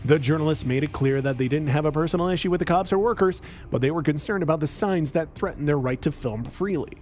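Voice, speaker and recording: A man's voice: a little high, fast talker, a little mellow, faint music behind. A man reading a scripted narration over police clips in a scornful, editorializing tone.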